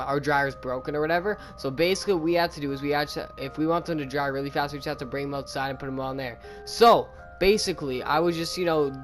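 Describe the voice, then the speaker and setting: Young guy telling a slangy story over music. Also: a little high. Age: young adult. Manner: slangy, animated retelling with frequent "bro" and "man". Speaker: gaming video host. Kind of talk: casual storytime over gameplay. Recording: music under the voice.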